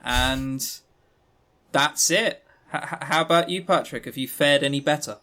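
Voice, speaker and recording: Male podcast co-host, higher-pitched, casual and jokey, with teasing mock gravity. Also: unhurried, sharp tone. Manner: joking, teasing, mock-serious.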